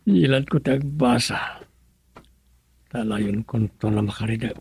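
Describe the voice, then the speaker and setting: A man plays a cautious, plain-spoken male villager in a radio drama. His voice is husky and recorded dry, with an Ilocano accent and a questioning, inquisitive manner. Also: medium pitch, a little slow, a little mellow, no room sound, heavily acted. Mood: musing.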